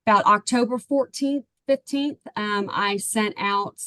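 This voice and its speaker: Chatty Texan mom, dry close sound. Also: female voice, medium pitch, measured pace, pushed and bright, no room sound. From Texas, USA. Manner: chatty and earnest, many ums and tag "right?". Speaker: parent of a baseball player, client guest. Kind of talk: casual interview storytelling.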